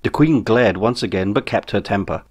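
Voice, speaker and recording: Low-pitched man narrating an audiobook. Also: lively intonation, moderate pace, a little mellow. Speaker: author reading own novel.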